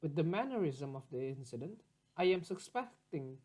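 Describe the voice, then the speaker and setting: A man narrating a scripted investigation log in a formal, clinical, measured manner. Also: on the high side, very animated, darkish tone. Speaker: narrator reading a case report.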